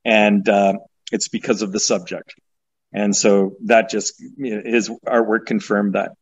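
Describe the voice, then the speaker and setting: A man, a sculptor, talking in a halting, thoughtful way as a guest on a video call, often repeating words. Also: fairly low pitch, little pitch movement, husky, muffled recording. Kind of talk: casual interview over video call.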